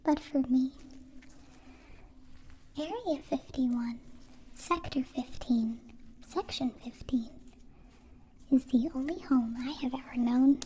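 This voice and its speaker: A woman voicing a gentle, curious, naive alien in a soft whispered ASMR roleplay, with halting, formal, literal phrasing. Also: sits high, slight background noise. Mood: wistful, matter-of-fact. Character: gentle, curious, naive captive-born alien, newly befriended.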